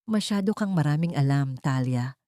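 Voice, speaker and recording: A woman's voice: deep voice, animated, moderate pace, dark tone, no echo, studio quality. A woman voicing a cruel, scornful older aunt who gives commands in an audio story. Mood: quiet menace. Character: cruel, bitter, menacing older aunt of a rich household.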